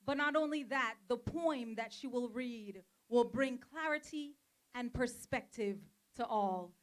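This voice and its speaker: A woman emceeing an outdoor rally in a park, energetic and coaxing as she introduces the next speaker. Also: fairly high pitch, a little slow. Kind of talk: emceeing and chant leading.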